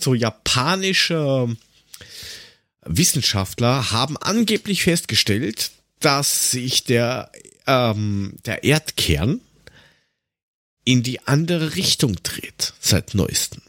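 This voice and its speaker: A middle-aged man chatting casually on a humorous podcast in a halting, wry storytelling manner. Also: medium pitch, a bit bright, no room sound, clean studio sound. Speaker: podcast host.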